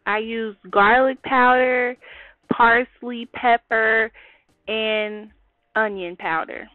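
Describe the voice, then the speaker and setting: Young woman chatting slow through a recipe, kinda high voice, slangy, home kitchen, faint music behind. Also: steady tone, dry recording, narrow, tinny audio. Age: young adult. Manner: chatty, slangy, direct to viewers. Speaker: cooking vlogger. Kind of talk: casual cooking tutorial. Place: small home kitchen, indoors.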